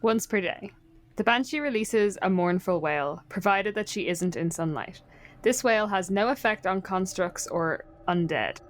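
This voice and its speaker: A woman's voice: medium pitch, a little hiss or hum. A woman playing a tabletop game, talking casually as she describes her character and the rules. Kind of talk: casual improvised game chat.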